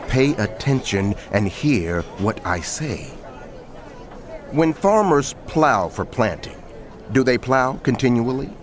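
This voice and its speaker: A man's voice: medium pitch, a little hiss or hum. A man narrating scripture dramatically, in a declamatory and emphatic manner.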